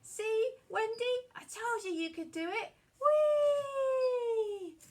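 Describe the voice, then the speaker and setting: Woman playing an excitable high-pitched adventurous boy. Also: extremely slow, far from the mic, exaggerated voice. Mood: excited, encouraging. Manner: excitable, whooping, encouraging. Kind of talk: children's puppet-show storytelling.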